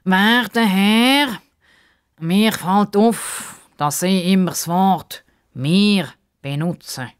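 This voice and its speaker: A woman voicing a pompous, fussy male crocodile in a children's story, speaking in a stilted, formal and drawn-out way. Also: medium pitch, smooth, pitched above the performer's own voice.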